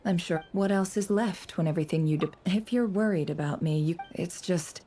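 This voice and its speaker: An actress playing a shrewd, sardonic young woman with secrets in a fantasy game, speaking in a measured, knowing, dry way. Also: fairly low pitch, mellow, slight background noise, lightly acted. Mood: composed, brooding.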